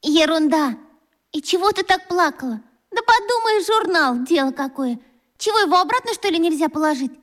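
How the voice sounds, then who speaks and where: A woman acting a warm-hearted, impulsive schoolgirl in a children's radio play, talking in an emphatic, colloquial way. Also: very high voice, animated, moderate pace. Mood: breezy, reassuring.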